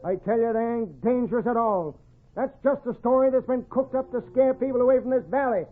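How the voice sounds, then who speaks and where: Tinny old-radio bullying, greedy high-voiced wagon boss. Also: male voice, a little fast. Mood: hard, scheming. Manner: domineering, folksy Western speech. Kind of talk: 1940s radio western acting. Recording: tinny, band-limited old radio recording.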